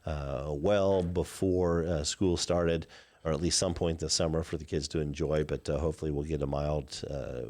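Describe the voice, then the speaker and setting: A man in his fifties with a Midwestern American accent and a very deep, low voice. He answers residents' questions as a city mayor in a relaxed Q&A. He talks haltingly, filling gaps with "uh" and "um.". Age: 50s. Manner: halting, many "uh" and "um" fillers. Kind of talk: live call-in Q&A.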